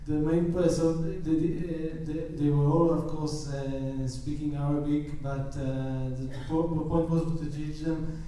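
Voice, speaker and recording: Slow, hesitant man in an echoey hall. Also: on the high side, flat delivery, warm tone. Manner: halting, many restarts, laughs often. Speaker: film director at a press conference. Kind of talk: press conference answers. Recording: roomy echo, distant mic, faint noise.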